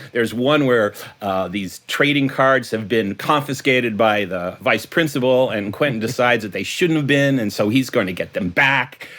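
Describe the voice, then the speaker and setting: A man's voice: mid-range voice, fairly bright tone. A man, a writer being interviewed, talking reflectively and conversationally about his stories, with a storyteller's manner. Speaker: guest writer, creator of a detective series.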